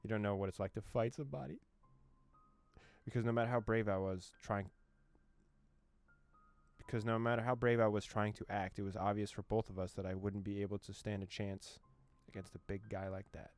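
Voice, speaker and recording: A man reading a visual novel's text aloud as a streamer, with quick joking asides. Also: fairly low pitch, fairly even intonation, a little mellow. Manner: reads dialogue aloud, breaks off with joking asides and laughs. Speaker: gaming streamer. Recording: faint game music behind.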